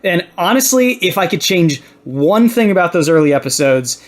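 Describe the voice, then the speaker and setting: Male podcast regular, fairly high voice, relaxed conversational chat. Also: normal speed. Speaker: podcast co-host. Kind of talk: casual panel chat.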